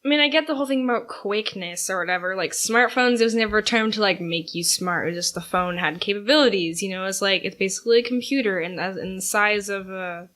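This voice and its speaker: A woman's voice: mid-range voice, fast, hardly any pauses, a bit bright. A young woman co-hosting a casual podcast with her mother, giggly and chatty, with lots of fillers. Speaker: podcast co-host, daughter. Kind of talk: casual chat.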